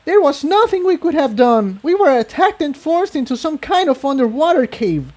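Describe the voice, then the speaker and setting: A man's voice: very high-pitched, very animated, normal speed, a little mellow, voice pushed up. A man voicing a timid, worried female pony who pleads on behalf of her friend in a story reading. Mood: pleading.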